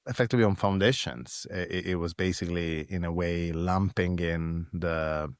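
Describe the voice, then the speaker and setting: A man with a thick Italian accent and a deep, low voice, talking through a long interview answer. He speaks thoughtfully, with plenty of ums and restarts. The sound is close and dry, with no room around it. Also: fairly even intonation, moderate pace, no echo. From Italy. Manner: thoughtful, frequent fillers and restarts. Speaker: guest, foundation executive.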